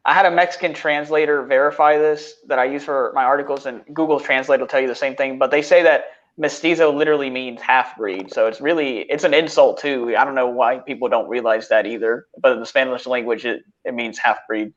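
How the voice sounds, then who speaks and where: A man's voice: medium pitch, steady tone, fairly quick, hardly any pauses, a bit bright. Man on dull lo-fi audio. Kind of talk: casual podcast conversation.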